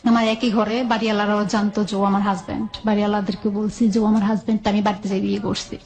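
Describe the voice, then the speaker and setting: A woman's voice: medium pitch, flat delivery, fast, a little mellow, rough recording. A woman with a Bangladeshi accent telling her own life story in a colloquial, conversational way, often quoting dialogue back and forth.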